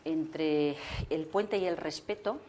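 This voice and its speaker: A middle-aged woman, answering at length on a panel in a conference hall. She speaks in long, measured sentences and often restarts mid-phrase. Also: deep voice, dark tone, slight background noise. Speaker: government minister on a panel. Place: stage in an indoor conference hall.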